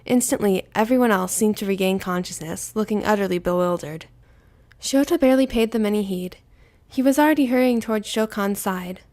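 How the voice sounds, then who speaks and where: Woman narrating a story, mid-pitched. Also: a little fast, a little mellow. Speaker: amateur audiobook narrator.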